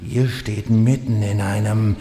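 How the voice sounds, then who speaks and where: A man playing a vain, gloating villain demon hunter with a deep gravelly voice in a German fantasy parody audio drama. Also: steady tone, slow pace, warm tone. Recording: echoey reverberant hall.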